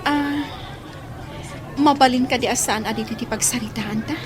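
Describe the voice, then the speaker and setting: An actress playing Mirna, a remorseful former lover pleading to start again, in a radio drama. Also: female voice, a little high, moderate pace, crowd noise, natural voice.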